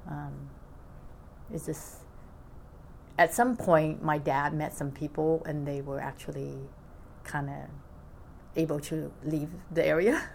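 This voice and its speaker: A woman, speaking haltingly and softly as an interviewee recalling her family's history. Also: low voice, little pitch movement, creaky, a little hiss or hum. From Vietnam. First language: Cantonese. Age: 40s. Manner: halting, soft-spoken, frequent laughs.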